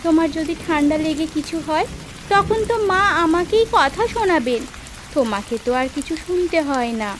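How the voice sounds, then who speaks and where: A fretful young village wife from an animated tale, voiced by a woman. She fusses and scolds in a high, lively voice that moves up and down a lot, with clear rain noise behind. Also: normal speed. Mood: doubtful. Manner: fussing, questioning. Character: fretful, scolding fifteen-year-old village bride. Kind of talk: cartoon voice acting.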